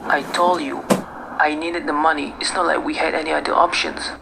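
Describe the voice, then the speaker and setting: Man playing a creaky-voiced, curt, cold husband. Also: fairly high pitch, little pitch movement, brisk. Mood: defensive. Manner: curt, dismissive. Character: cold irritable husband and father. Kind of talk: amateur radio drama acting. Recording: clear background noise.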